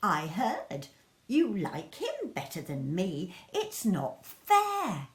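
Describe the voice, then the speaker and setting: A woman's voice: medium pitch, huge pitch swings, very slow, roomy sound, heavily acted. A woman voicing an indignant, whiny little girl elephant in a children's story. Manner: whiny, pleading.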